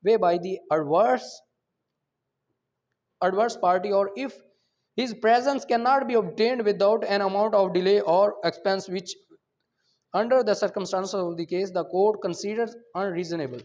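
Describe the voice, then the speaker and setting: A man's voice: sits high, drawn-out words, a little mellow. A man with a Pakistani accent giving a law lecture, reading formal text aloud and explaining it point by point. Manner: explanatory, mixing English legal terms with Urdu. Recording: dull, muffled lo-fi audio.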